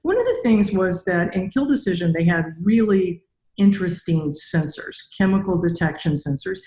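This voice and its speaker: The voice is an older woman's, fairly low in pitch. She talks conversationally as an interview host, recorded over a video call in a noticeably echoey room. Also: animated, moderate pace, darkish tone. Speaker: podcast host and robotics researcher. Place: indoor room with bare walls.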